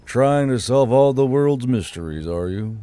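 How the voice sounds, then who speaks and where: A man's voice: medium pitch, lively intonation, unhurried, mellow, clear, resonant tone, no room sound, natural voice. A man playing an earnest, slightly exasperated leader of riders in an audiobook story. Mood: friendly. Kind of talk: audiobook character dialogue.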